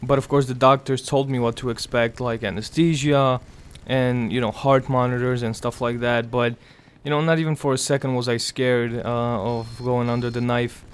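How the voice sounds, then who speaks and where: Young guy doing game commentary, raspy and weak after surgery, talks flat and quiet with lots of pauses. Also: mid-range voice. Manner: quiet, slow, strained, pausing often. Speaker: gaming commentator. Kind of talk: casual gameplay commentary.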